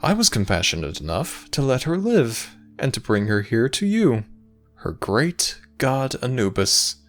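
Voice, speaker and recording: Casting: male actor as teasing Egyptian god of the afterlife, lively swooping delivery, sly dramatic pauses, fantasy audio drama over music. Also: medium pitch. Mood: lofty, knowing. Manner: theatrical, sly, measured storytelling with dramatic pauses. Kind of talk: scripted fantasy audio roleplay.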